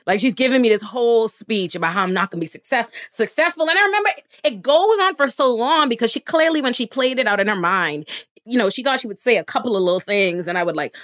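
A woman's voice: a little high, lively intonation, fast talker, fairly bright tone. A woman from the Bahamas with a Bahamian accent, speaking as an interview guest in an emphatic, outspoken way. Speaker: lawyer and political commentator.

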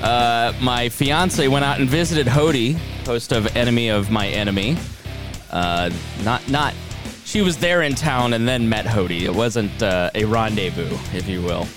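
A man's voice: mid-range voice, a little slow, over music. A middle-aged man hosting a podcast, reading promos and announcements. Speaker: podcast host.

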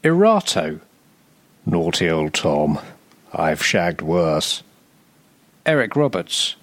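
A man reading a scripted, humorous narration as a podcast presenter, with a wry, deadpan, sardonic delivery. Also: sits low, takes it slowly, no echo.

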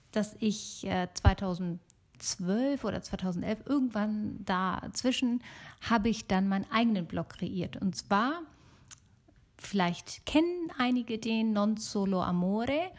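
A middle-aged woman from Germany talking casually and alone as a podcast host. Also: mid-range voice.

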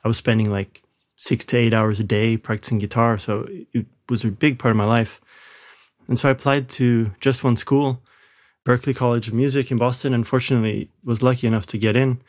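A man's voice: mid-range voice, level tone, a little fast, darkish tone. A man who grew up in Malaysia, a film composer interviewed from his home studio, answering casually and reflectively with frequent restarts.

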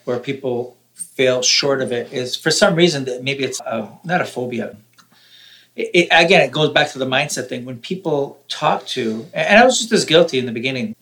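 A man's voice: mid-range voice, fairly bright tone. A man from Canada, a podcast guest, talking casually and repeating words as he explains.

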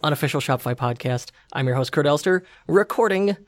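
An upbeat male podcast host with a somewhat high voice. He reads sponsor spots and chats with a guest in a lively, energetic way. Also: fast talker. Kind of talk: read ad spots and casual interview.

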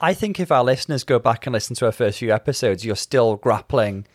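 British guy co-hosting a recap podcast, mid pitch, articulate, measured, super dry recording. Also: expressive, no room sound. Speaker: podcast co-host. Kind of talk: casual TV show recap commentary.

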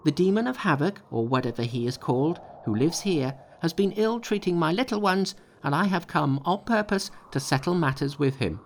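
A man's voice: on the high side, expressive, moderate pace, hardly any pauses, slight background noise, voice pushed up. A man voicing a cocky male monkey king in a storybook reading, boastful and taunting. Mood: defiant.

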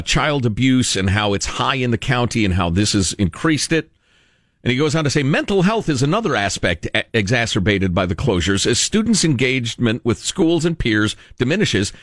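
An American man hosting a talk show, reading aloud and commenting with emphatic, deliberate phrasing. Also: medium pitch, no room sound, clean studio sound. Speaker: radio talk show host.

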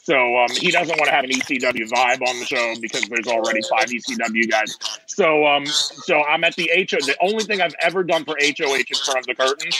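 A man's voice: medium pitch, brisk, over a noisy crowd, rough recording. A man in his forties, a guest telling rambling, joking stories in a casual chat. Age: 40s. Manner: joking, rambling, theatrical asides. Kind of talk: casual storytelling.

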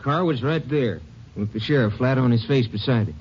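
A man's voice: medium pitch, lively intonation, moderate pace, close to an everyday voice. An actor playing a defensive local lawman in a 1950s radio drama, answering in a curt, matter-of-fact way. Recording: dull old radio recording with faint hiss.

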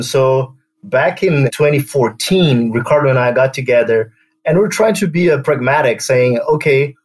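A man from Brazil with a Brazilian accent, speaking as an interview guest in a measured way, often adding "uh" and "right?". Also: medium pitch. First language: Portuguese. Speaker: guest, company co-founder. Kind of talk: conversational interview.

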